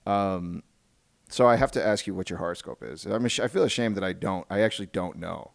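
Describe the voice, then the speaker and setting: A man hosting a podcast in casual interview chat, halting and often restarting his phrases. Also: low-pitched, vocal fry. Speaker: podcast host. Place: podcast recording.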